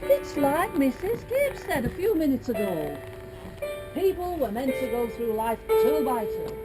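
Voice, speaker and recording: A woman's voice: sits high, big pitch swings, a little slow, rough recording. A woman playing a folksy stage manager who talks to the audience and stands in as a minister. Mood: wry. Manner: chatty, wry aside to audience. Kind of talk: stage play performance. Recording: loud music under voice.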